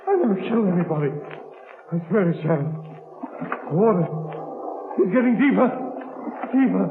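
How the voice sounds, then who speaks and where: An actor playing a cowardly wounded crook, pleading and panicky in a melodramatic old radio drama style. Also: male voice, high voice, expressive, moderate pace. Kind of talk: 1940s radio acting. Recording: tinny old radio recording with hiss.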